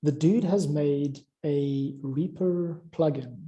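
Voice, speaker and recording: A man's voice: on the high side, slow pace, warm tone, dull audio. A man talking informally about audio production, explaining things in a rambling way as he thinks aloud. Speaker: audio drama music creator.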